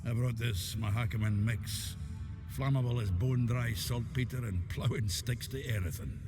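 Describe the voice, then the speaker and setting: A man playing a rough, eager fighter in a video game cutscene. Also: medium pitch, moderate pace, natural voice. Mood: keen. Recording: music behind the dialogue.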